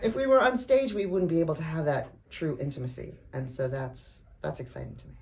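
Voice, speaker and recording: Low-pitched actress in casual interview. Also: female voice, fairly quick, noisy background. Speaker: actress in an audio drama.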